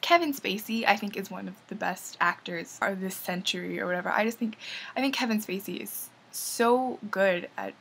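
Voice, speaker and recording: A woman's voice: mid-range voice, moderate pace, vocal fry, a bit bright, slight background noise. A young woman casually reviewing the films she watched, rambling and enthusiastic with lots of fillers. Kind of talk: casual movie review vlog.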